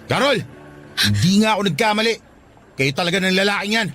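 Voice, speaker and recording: A man's voice: high-pitched, animated, fairly quick, pushed and bright, soft background music, exaggerated voice. A man with a Filipino accent playing a jealous, hot-tempered young boyfriend, scornful and accusing, in a radio drama. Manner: confrontational, scornful, insulting. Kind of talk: Tagalog radio drama acting.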